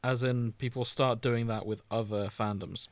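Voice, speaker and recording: A man's voice: mid-range voice, fairly even intonation, normal speed, dry recording. Man chatting casually, close dry sound. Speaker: podcast co-host. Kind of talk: casual chat about fandom.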